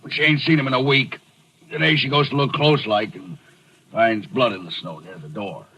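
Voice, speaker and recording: An actor playing a rough-spoken, weary police desk captain in a 1940s radio thriller, in slangy, clipped talk. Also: male voice, medium pitch, steady tone, moderate pace, pushed and bright, low-quality recording. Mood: matter-of-fact.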